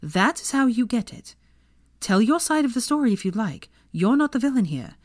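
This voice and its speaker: A woman's voice: fairly high pitch, sing-song, moderate pace, no echo, clean studio sound, pitched above the performer's own voice. A woman voicing a shrewd and resolute young woman with a coolly assertive manner in a storybook.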